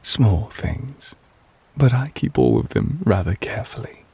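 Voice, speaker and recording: Man voicing devoted romantic witch lover, ASMR roleplay, lowish gentle voice, creaky edges, soft, wistful, possessive, close-miked. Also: wildly swooping pitch, no echo, heavily acted. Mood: tender, reflective. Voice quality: soft, breathy, husky. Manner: intimate, measured, gentle, wistful. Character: devoted, tender, possessive male witch lover, softly proposing. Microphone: close, dry microphone.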